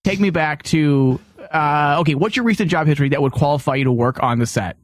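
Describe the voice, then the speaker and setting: A man's voice: fairly high pitch, brisk, no echo, clean studio sound. An American man hosting a show with casual, joking banter. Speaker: show host.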